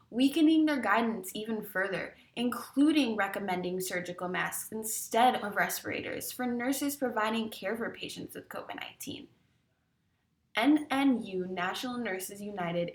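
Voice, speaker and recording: A woman delivering a prepared public statement as a union spokesperson, formal and deliberate, now and then stumbling over a word. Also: mid-range voice, a bit bright. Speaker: industrial hygienist and nurses' union spokesperson. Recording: echoey room sound.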